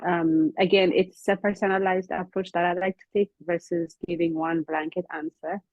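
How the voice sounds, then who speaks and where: A woman from Ethiopia, a company founder, explaining hair care in an earnest way, often pausing with ums, over a video call. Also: lowish voice, flat delivery, no echo. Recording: dull, slightly lo-fi call audio.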